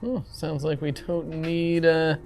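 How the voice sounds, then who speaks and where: A woman's voice: low voice, flat delivery, takes it slowly, darkish tone. A woman speaking casually in character as a player in a tabletop roleplaying game.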